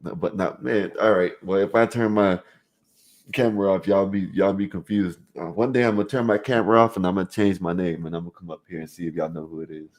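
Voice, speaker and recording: A man's voice: sits low, fairly even intonation, fast. A man raised in Florida, hosting a live call-in stream, reading the chat aloud and rambling in loose slang, full of "you know what I mean.". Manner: rambling with restarts, talking straight to viewers.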